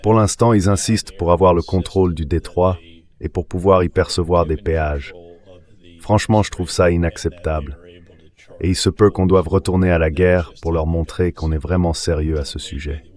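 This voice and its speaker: A man with a deep, AI-generated voice offers measured commentary on foreign policy. He states his opinions as a political and military analyst would. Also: fairly even intonation, a little mellow. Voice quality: AI-generated translation voice.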